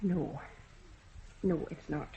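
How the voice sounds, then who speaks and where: An actress playing a practical wife who talks in a brisk, no-nonsense way in a radio drama. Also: female voice, deep voice, warm tone. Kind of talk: 1970s radio drama acting. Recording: dull lo-fi radio play with noticeable hiss.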